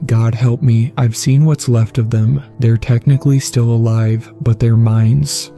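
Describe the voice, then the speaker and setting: Man reading as a grave, dark-voiced father confessing. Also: medium pitch, natural voice. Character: anguished father, secret paranormal investigator, grave and guilt-ridden. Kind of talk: dramatic reading of horror story logs. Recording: music behind the voice.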